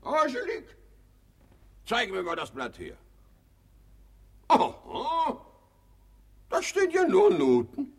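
An actor playing a grumpy, domineering middle-aged hypochondriac father in a German comedy radio play, blustering and imperious with a husky voice. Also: very high-pitched, wildly swooping pitch, takes it slowly, pauses often, exaggerated voice. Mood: indignant.

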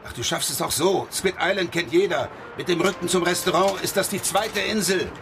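A man's voice: high voice, fairly quick, vocal fry, fairly bright tone, a little hiss or hum. An actor playing a desperate, pressing Dutch ex-policeman in a German radio crime drama. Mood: urgent, grim.

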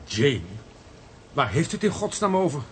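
A man's voice: a little high, big pitch swings, normal speed, a little hiss or hum. An actor with a Netherlands Dutch accent playing a disbelieving, questioning man caught up in a radio spy thriller. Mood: incredulous. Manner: incredulous, questioning. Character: shocked, disbelieving man drawn into a spy plot. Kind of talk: Dutch radio play acting.

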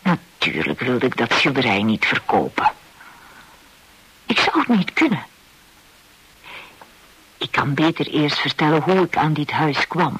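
Unhurried pace, breathy husky tone, reflective male hotel owner, slightly high voice, radio drama narration. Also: sing-song, a bit bright, exaggerated voice, rough recording. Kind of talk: first-person radio play narration in Dutch.

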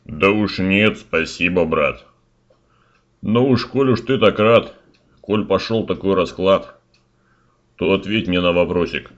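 A man's voice: low voice, takes it slowly, long pauses, fairly bright tone, lo-fi sound. A man voicing Ivan-type peasant fool, a slangy boastful lad, in rhymed comic verse for a fairy-tale audio story. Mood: brash. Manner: slangy, colloquial rhymed delivery.

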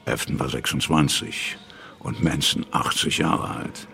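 A man's voice: extremely low pitch, measured pace, creaky, bright, forceful tone, full character voice. A man with pronunciation narrating a radio play from a script in a laconic, matter-of-fact way. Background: music.

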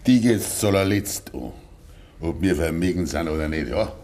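An actor playing a gruff, stubborn, grieving old farmer in Bavarian dialect in a 1950s radio play, brooding and curt. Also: male voice, low-pitched, lively intonation, unhurried, darkish tone. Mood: indignant. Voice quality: gravelly. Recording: 1950s radio recording with faint hiss.

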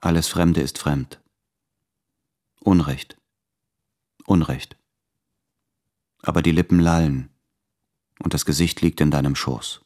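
An actor playing a melancholy, tormented writer reading his love letters in a radio play, with measured, introspective, formal diction. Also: very deep voice, fairly even intonation, a little mellow. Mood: brooding, yearning. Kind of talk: literary German radio play monologue.

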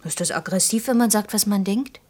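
A woman plays a cheeky young employee in a radio play. Her voice is medium in pitch with a husky edge. She talks directly, in a teasing, questioning and provoking way. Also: fairly quick, a little mellow, lightly acted. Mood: cheeky, challenging. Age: young adult. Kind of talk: naturalistic German radio play dialogue.

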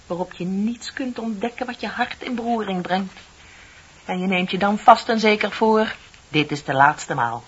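An actress playing a heartbroken, ashamed young woman confessing in a radio play, dramatic and drifting into breathy near-whispers. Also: sits low. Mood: bitter, anguished. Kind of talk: Dutch radio play acting. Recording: muffled radio play recording with tape hiss.

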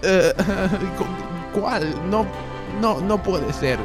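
Hapless melodramatic boy played by a man, comic radio sketch, high and very animated. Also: very slow, exaggerated voice. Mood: shocked. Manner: overwrought, theatrical despair. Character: little Timmy, hapless melodramatic suicidal boy. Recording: music playing behind.

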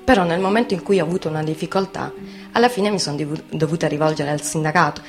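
A woman's voice: low voice, fast, music in the background, close to an everyday voice. A woman voicing a frank, combative young steelworker, giving testimony in a colloquial, insistent way. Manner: plain-spoken, colloquial, insistent. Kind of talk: conversational testimony monologue in radio theatre.

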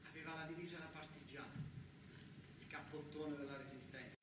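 Southern Italian stage storyteller, very echoey noisy recording. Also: male voice, a little high, fairly even intonation, brisk, far from the mic. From southern Italy. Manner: colloquial, repeating phrases for emphasis. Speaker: theatre monologue storyteller. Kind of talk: theatrical first-person narrative monologue with acted dialogue.

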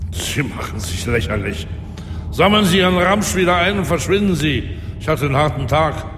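Man doing a haughty high-voiced villain boss, radio drama, scornful lecturing, echoey and noisy. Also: expressive, normal speed, natural voice. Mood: indignant. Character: arrogant, contemptuous, powerful male crime boss. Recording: reverberant room with noisy ambience.

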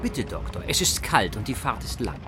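A man's voice: lowish voice, sing-song, moderate pace, over music. Courteous, menacing henchman. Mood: polite menace. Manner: courteous, formal, quietly menacing. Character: coolly polite male armed henchman. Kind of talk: radio drama dialogue.

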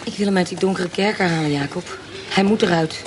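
A woman's voice: on the low side, a little fast, close to an everyday voice. An actress playing a bold, cunning noblewoman and former soldier in a radio drama, speaking in a terse, insistent, commanding way. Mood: intense. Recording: heavy background noise.

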